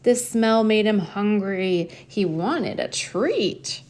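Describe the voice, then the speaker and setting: A woman reads a rhyming picture book for children at a slow, unhurried pace. Her pitch sits in the middle for a woman, and she narrates expressively, stretching out key words as a storyteller does. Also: lively intonation, darkish tone. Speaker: storybook reader and host.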